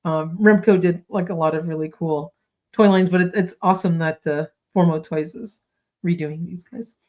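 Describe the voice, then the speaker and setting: A woman's voice: lowish voice, steady tone, normal speed, darkish tone, dull audio. A woman co-hosting a toy news podcast in casual chat, bubbly and full of fillers, coming down with a slight cold. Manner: chatty, bubbly, lots of likes and ums.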